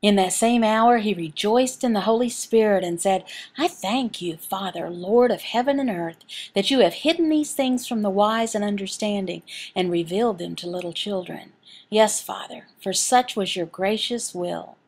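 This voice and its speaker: A woman delivers a sermon as a voice interpreter. Her voice sits at an average pitch and has a clear creak in it. She moves earnestly from phrase to phrase, pausing between them as she reads and explains scripture. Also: a little mellow. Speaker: voice interpreter for a signed Bible teaching.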